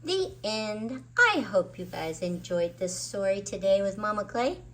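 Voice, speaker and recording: A woman's voice: mid-range voice, lively intonation, a little slow, a bit bright, slight background noise. A woman hosting story time, narrating a children's book expressively with dramatic emphasis on words. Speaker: children's story reader and host.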